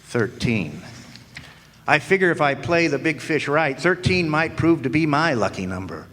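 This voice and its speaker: A man's voice: a little high, big pitch swings, normal speed, fairly bright tone, a little hiss or hum. An actor playing a wisecracking hardboiled male private eye, narrating in a dry, sardonic noir radio style on a stage. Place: live stage performance in a hall. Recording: long hall reverb.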